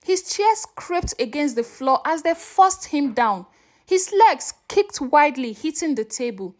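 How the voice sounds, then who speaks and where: A woman's voice: high voice, big pitch swings, measured pace. A woman storyteller narrating a scripted story in a dramatic way.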